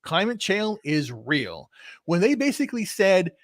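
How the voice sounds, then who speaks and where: A man in his fifties from Texas, hosting a casual, opinionated talk with passion and many halting restarts. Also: high voice, very animated, no room sound, studio quality. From Texas, USA. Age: 50s. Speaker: podcast host.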